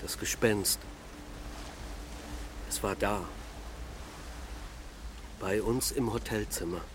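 An actor playing a restless, haunted middle-aged father in a naturalistic radio drama, speaking haltingly and reflectively in a hushed way. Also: lowish voice, noisy background. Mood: uneasy, confessing.

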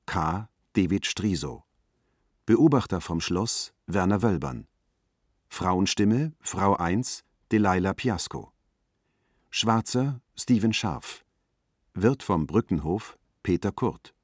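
Slow, deep male announcer, dry sound. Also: animated, slightly rough, no room sound. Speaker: announcer reading cast credits. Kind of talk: scripted announcement.

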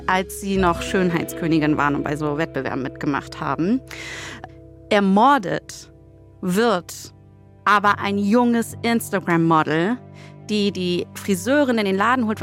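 A woman casually pitching a story as a co-host, setting the scene in colloquial language. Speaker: co-host pitching a crime audio drama story.

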